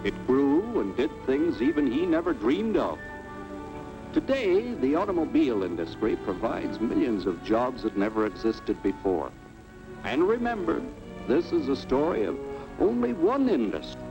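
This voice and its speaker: A man's voice: mid-range voice, big pitch swings, normal speed, muffled recording. A man playing a plain-spoken American everyman, narrating in a folksy, persuasive way for a 1950s educational cartoon. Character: plain-spoken, level-headed everyman citizen, folksy storyteller. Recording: vintage film soundtrack, music behind.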